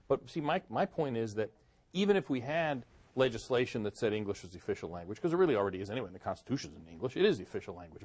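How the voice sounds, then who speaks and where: A man's voice: fairly low pitch, darkish tone. A man from Boston and Cleveland, a guest author answering interview questions thoughtfully, with frequent fillers and restarts. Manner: thoughtful, hesitant with many fillers.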